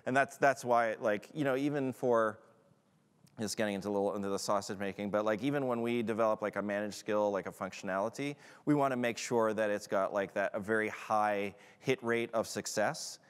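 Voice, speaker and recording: Relaxed man answering questions in a hall. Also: lowish voice, a little fast, smooth. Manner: hesitant, many fillers and restarts, casual. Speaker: presenter answering audience questions. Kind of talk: conference Q&A. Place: large conference hall. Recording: long hall reverb.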